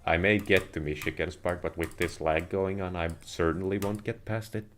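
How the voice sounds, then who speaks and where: A man with a low voice and a Finnish accent talks casually through a gaming livestream. His phrasing is measured and a little formal as he addresses viewers. Keyboard clicks and slight noise sit behind his voice. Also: fairly even intonation, a bit bright. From Finland. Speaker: live streamer playing a video game. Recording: noisy home stream with keyboard clicks. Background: keyboard and mouse clicking.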